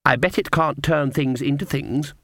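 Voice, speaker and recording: A man's voice: on the high side, normal speed, fairly bright tone, no room sound, clean studio sound, close to an everyday voice. A man voicing an innocent but cheeky little girl in an audiobook, with a childlike, challenging and insistent manner. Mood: doubtful, taunting. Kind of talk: audiobook character voice.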